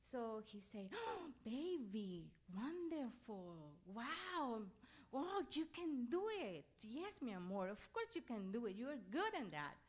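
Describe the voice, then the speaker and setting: A middle-aged or older woman from Venezuela, a native Spanish speaker with a Venezuelan accent, giving an animated personal inspirational talk as a guest speaker. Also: on the high side, slow pace. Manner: animated storytelling, often acting out her own reactions; laughs readily while talking.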